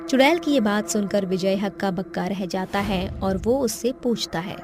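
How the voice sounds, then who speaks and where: A woman's voice: medium pitch, normal speed. A woman reading scripted narration for a cartoon horror story. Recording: background music under the voice.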